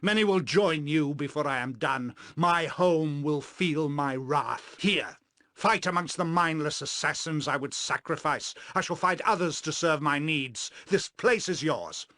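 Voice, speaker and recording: A man voicing a cold, vengeful, soulless elven sorcerer villain for a video game, grandiose and menacing, with deliberate, theatrical, formal diction. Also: on the high side, bright, forceful tone, no room sound. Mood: furious, defiant. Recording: dry studio recording.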